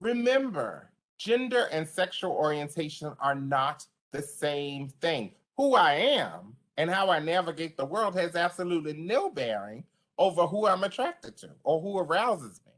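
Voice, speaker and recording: A woman with a deep voice giving an online training to service providers, speaking passionately and conversationally. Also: big pitch swings, moderate pace, hardly any pauses. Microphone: video call.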